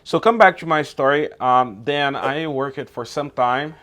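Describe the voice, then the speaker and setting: A man's voice: medium pitch, a little slow, a bit bright, a little hiss or hum. A man from Brazil whose first language is Portuguese, hosting a YouTube channel and talking informally outdoors, often checking in with "okay?" and "right?". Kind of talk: casual outdoor vlog talk.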